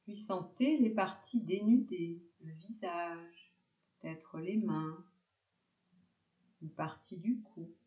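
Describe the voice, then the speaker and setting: A woman teaching yoga nidra, guiding a relaxation meditation calmly in short, separated phrases. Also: lowish voice, slow pace, roomy sound.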